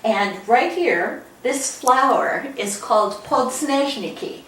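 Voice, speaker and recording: Older American woman, author giving an informal talk, halting delivery, recorded at a distance with background noise. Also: a little high, lively intonation, a bit bright. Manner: halting, with frequent pauses mid-sentence. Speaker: guest author and former newspaper editor. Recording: echoey room, distant mic, background noise.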